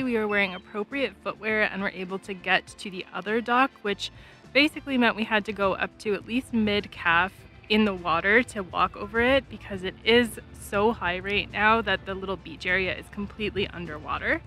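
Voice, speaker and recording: A woman's voice: mid-range voice, pushed and bright. Woman in her 30s doing a chatty camping vlog, light music behind her. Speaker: travel vlogger. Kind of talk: casual vlog narration.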